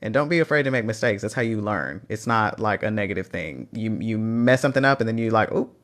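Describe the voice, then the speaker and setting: A man's voice: lowish voice, fast, fairly bright tone. A man with a Texan accent hosting a live phone stream, reading out chat questions and encouraging language learners. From Texas. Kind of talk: casual livestream Q&A.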